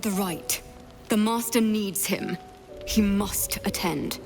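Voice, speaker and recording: Slow, solemn vampire thrall woman. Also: medium pitch, gravelly, faint music behind. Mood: solemn, doubting. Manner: solemn, resigned, deliberate. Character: enthralled female vampire spawn, solemn and fatalistic. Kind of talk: video game cutscene acting.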